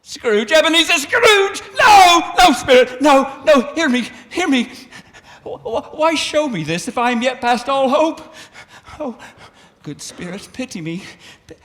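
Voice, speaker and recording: An actor playing an older repentant miser who pleads breathlessly and breaks into laughter. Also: male voice, very high voice, very animated, a little slow, sharp tone, long reverb tail, full character voice. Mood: desperate. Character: repentant old miser, frantic then giddy with joy.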